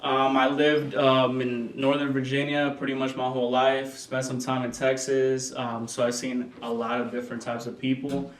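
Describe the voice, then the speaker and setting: A young man from El Salvador and Honduras answers as a podcast guest, relaxed and measured, stretching out his phrases. He sits some way off the mic in a roomy space that carries an echo, and his pitch stays in a plain middle range. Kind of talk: casual interview answers. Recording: roomy sound, voice from a distance.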